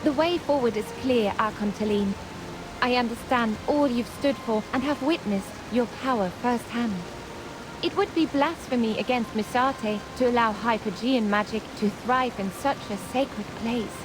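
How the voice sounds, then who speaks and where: A woman's voice: a little high, normal speed, noisy background, close to an everyday voice. A woman playing a reverent young guardian of a sacred place in a fantasy game, with an earnest, respectful, formal manner. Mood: reverent, resolved. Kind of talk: scripted fantasy game voice acting. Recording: music and rain behind the voice. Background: music, rain.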